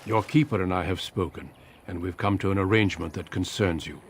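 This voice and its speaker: A man playing a seasoned, steady warrior recruiter in a fantasy game, speaking in a measured, formal and courteous manner. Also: sits low, slight background noise. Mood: grave. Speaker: Grey Warden recruiter. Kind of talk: video game cutscene acting.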